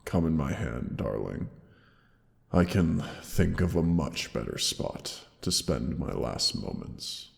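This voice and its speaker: A man voicing a huge old dying dragon, gentle and weary, speaking slowly and solemnly in a roleplay audio drama. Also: animated, heavily acted. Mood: tender, resigned. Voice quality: deep gravelly, breathy sighs. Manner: slow, solemn, halting, tender endearments. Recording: long cavernous reverb.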